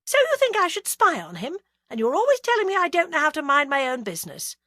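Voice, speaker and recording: Brisk, defensive middle-aged businesswoman, read by a woman narrator; bright, dry, animated. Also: high-pitched, no echo, pitched above the performer's own voice, full character voice. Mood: sharp. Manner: sharp, demanding, defensive.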